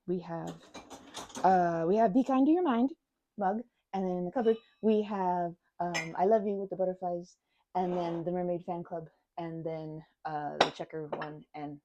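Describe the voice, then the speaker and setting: A woman chatting casually to the listener as a best friend in an ASMR roleplay, bubbly and expressive, rambling and changing course mid-thought. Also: on the low side, normal speed, a little hiss or hum.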